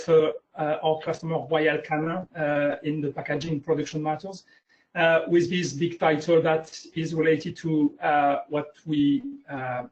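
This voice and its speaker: A man's voice: a little high, darkish tone. Hesitant French-accented man presenting. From France. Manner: hesitant, many uh fillers. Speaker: webinar guest speaker. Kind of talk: presenting slides in a webinar. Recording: muffled lo-fi webinar audio.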